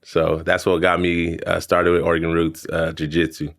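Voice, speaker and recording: Guy in his 30s from american samoa, real low voice, lots of um and you know. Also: completely flat delivery, creaky, a bit bright. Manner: halting, full of fillers and restarts. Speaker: podcast guest. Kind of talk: casual interview chat.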